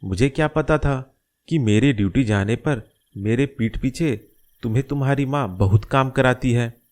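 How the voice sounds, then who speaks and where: Reassuring cartoon father voiced by a man, medium pitch. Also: moderate pace, a little mellow, lightly acted. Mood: concerned. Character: caring, reassuring middle-aged father advising his young daughter. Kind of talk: Hindi moral-story cartoon dialogue.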